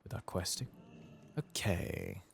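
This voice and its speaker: A man softly narrating a video game playthrough in ASMR style, murmuring and musing hesitantly to himself as he plays. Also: slow pace, slight background noise. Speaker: gaming channel host. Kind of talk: soft-spoken ASMR game playthrough commentary.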